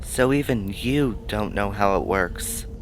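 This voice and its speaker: An actor playing a timid, deferential young research assistant in an audio drama, hesitant and politely inquisitive. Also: male voice, on the low side, animated, slow pace, slight background noise, rough recording.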